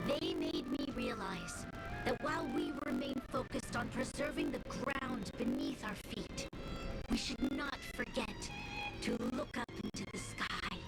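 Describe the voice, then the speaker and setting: A woman's voice: a bass voice, wildly swooping pitch, creaky, heavily acted, low-quality recording. A woman playing a young, resolute leader giving a solemn, earnest inaugural speech in a fantasy game. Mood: earnest, hopeful. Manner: solemn, earnest, measured oratory. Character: young female supreme guardian, solemn, resolute leader addressing her people. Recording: game audio with loud music underneath. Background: prominent game soundtrack music.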